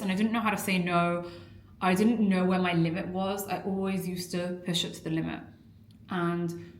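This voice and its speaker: A young adult woman from an Iraqi family, a weight-loss coach talking candidly and earnestly to camera in a personal vlog. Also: fairly low pitch. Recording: echoey room.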